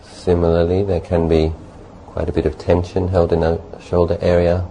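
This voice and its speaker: A man leading a guided meditation as a teacher, speaking gently and unhurriedly in short phrases with long pauses. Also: low voice, monotone, creaky, rough recording. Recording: faint background noise.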